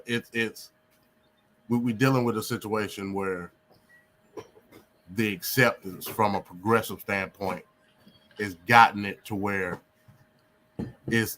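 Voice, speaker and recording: A man's voice: lowish voice, steady tone, a little slow, long pauses, a bit bright, slight background noise. A man speaking casually on a panel, hesitant at first and repeating words as he starts his thoughts. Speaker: podcast panelist. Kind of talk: casual chat.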